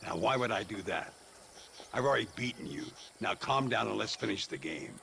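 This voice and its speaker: A man's voice: fairly low pitch, expressive, fairly quick, gravelly, heavy background noise. A man playing a genial, wry frontier gambler in a video game cutscene, florid and courteous. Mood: placating.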